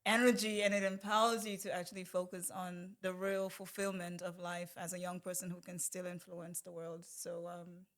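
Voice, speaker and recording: A woman in her thirties, a guest in a casual chat, speaking in a measured way with ums. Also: fairly low pitch, level tone.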